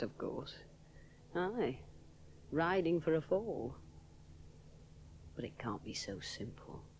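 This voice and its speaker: A woman's voice: very deep voice, creaky, background noise, exaggerated voice. An actress playing a young woman aviator alone over the sea, musing inwardly, often dropping to a whisper. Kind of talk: 1970s radio drama inner monologue.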